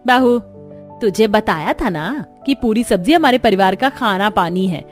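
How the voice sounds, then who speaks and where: A woman's voice: medium pitch, expressive, normal speed, soft background music, natural voice. A woman voicing a bossy, nagging old mother-in-law in a cartoon story. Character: scolding, demanding old mother-in-law, food-loving village matriarch.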